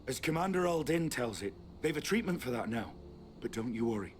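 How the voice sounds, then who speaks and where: A man's voice: mid-range voice, very animated, brisk, slight background noise, close to an everyday voice. An actor voicing a blunt, reassuring townsman in a video game, sharing news in a matter-of-fact way. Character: gossiping adult male townsman, blunt and reassuring.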